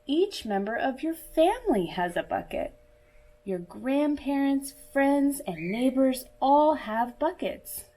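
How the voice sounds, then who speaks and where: A woman reading a picture book aloud to young children in a deliberate, teacherly manner, as if speaking directly to her listeners. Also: high-pitched, big pitch swings, dark tone. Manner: asks listeners questions and pauses for answers. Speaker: children's story reader.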